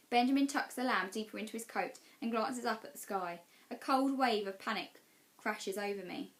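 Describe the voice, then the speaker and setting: Female storybook narrator, mid-range pitch, expressive classroom read-aloud style. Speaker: teacher reading class storytime.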